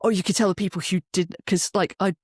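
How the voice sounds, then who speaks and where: A woman's voice: fairly low pitch, fairly even intonation, fast, breathy edge, no room sound, studio quality. A British woman giving an interview in a chatty, anecdotal way, acting out remarks as she goes. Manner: chatty, acts out quoted remarks. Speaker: interview guest, voice actor and performer. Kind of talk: animated interview chat with anecdotes.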